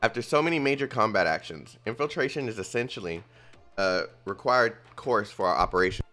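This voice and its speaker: A man reading out a calm male squad leader's briefing on infiltration in his own plain voice, with lively pitch and faint music behind. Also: a little fast. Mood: instructive.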